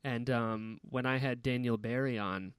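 A man in his twenties chats as a podcast guest over a video call. His mid-range voice sounds dry and close, and he talks in an upbeat, animated way, laughing often and jumping up in pitch. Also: a little slow, clean tone, no room sound. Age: 20s. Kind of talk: casual conversational interview.

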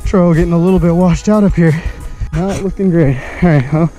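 A man's voice: a little high, brisk, warm tone, soft background music, rough recording. A young man vlogging while unicycling along a wooded trail, chatting casually and playfully to other hikers, sometimes out of breath. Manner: playful, hums and exclaims while riding, out of breath at times. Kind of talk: casual chat while riding. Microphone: helmet or chest action camera.

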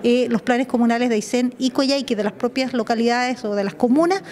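A Chilean woman in her fifties answers as a councillor in a news interview. Her voice is slightly high, and she speaks haltingly, reformulating as she goes, into a handheld mic indoors. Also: darkish tone. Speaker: regional councillor. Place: council meeting room indoors. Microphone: handheld interview microphone.

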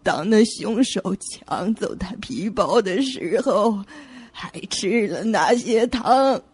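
A woman acts out a bereaved twin sister in a suspense radio play. Her voice is husky and breathy at a medium pitch. She speaks slowly and haltingly, full of anguish. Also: animated, a little mellow, no echo, heavily acted. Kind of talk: audio suspense drama acting.

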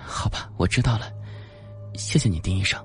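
A man's voice: on the low side, fairly quick, soft background music, close to an everyday voice. A man voicing an anxious, earnest young woman in an audiobook, worried and hesitant. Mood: dejected. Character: anxious young woman, earnest older sister seeking help.

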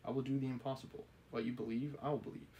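A man reading the part of an obsessive, menacing gentleman from a novel, insistent and persuasive. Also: mid-range voice, flat delivery, racing, lightly acted. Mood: feverish pleading. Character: obsessive, menacing gentleman pleading with and cornering a woman. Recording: clearly audible background noise.

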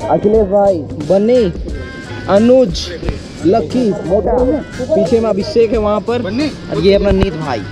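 A young man from Jharkhand, India, with a high voice talks eagerly to his viewers on a trip into the woods, calling them brother and friend. He records outdoors on an action camera in his hand. Clear music runs under his voice. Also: a little slow. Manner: excited, chatty, addresses viewers as bhai and yaar. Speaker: travel vlogger. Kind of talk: casual travel vlog narration. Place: outdoors at a forest picnic spot by a waterfall. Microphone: action camera or phone held by the speaker.

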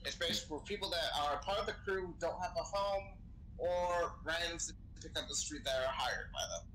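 A man with a slightly high, bright voice talks in character during an online role-play game. He explains things casually and swears freely. There is faint noise on his voice-chat mic. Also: normal speed. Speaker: role-play gamer playing a crew member. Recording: noisy gaming voice chat.

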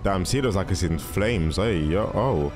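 A young man comments casually on what he is watching, close on the mic. His voice sits fairly low but moves around a lot, with slangy, think-aloud phrasing. Cartoon intro music plays clearly behind him. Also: unhurried. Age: young adult. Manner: animated, slangy, musing aloud. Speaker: reaction video host. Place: small indoor room at a desk. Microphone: close microphone on arm, headphones on. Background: music from the cartoon intros being watched.

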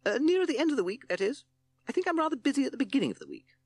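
A man's voice: very high voice, huge pitch swings, rapid-fire, a little mellow, no echo, voice pushed up, heavily acted. A man voicing a timid, cowardly little storybook toad who stammers and hesitates nervously. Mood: anxious, evasive.

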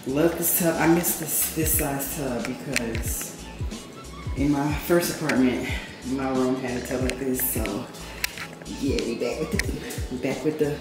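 Woman vlogger, low relaxed voice, hyped "boom, period" delivery, empty echoing apartment with music under it. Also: unhurried. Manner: chatty, upbeat, says "boom" and "period" a lot. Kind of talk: casual apartment tour vlog. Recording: echoey empty room with music behind. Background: background music.

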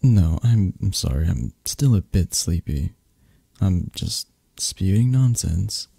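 A man playing a possessive, flirtatious mafia boss in an intimate ASMR romance roleplay, murmuring sleepily and teasingly with a breathy, husky voice. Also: deep voice, animated, slow, dark tone, no echo, studio quality, heavily acted. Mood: sleepy.

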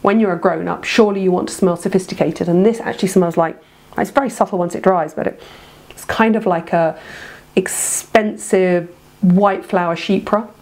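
A woman in her fifties with a stuffy, cold-blocked voice, talking to camera in a chatty, gushing way full of ums. Her tone sits a little low and carries a gravelly edge. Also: fairly even intonation, normal speed, darkish tone. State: congested from a head cold. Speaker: beauty vlogger. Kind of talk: casual to-camera product review. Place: home room, indoors.

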